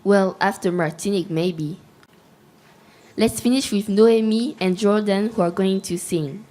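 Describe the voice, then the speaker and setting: A woman's voice: mid-range voice, a little slow, dark tone. A teenage girl co-hosting a school web radio show, reading carefully. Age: teens. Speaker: co-host.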